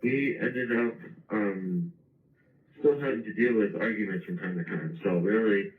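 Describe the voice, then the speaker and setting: A man answering interview questions hesitantly, with many pauses and fillers. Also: fairly low pitch, recorded from a distance. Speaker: interviewee in played clip. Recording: echoey phone-quality clip.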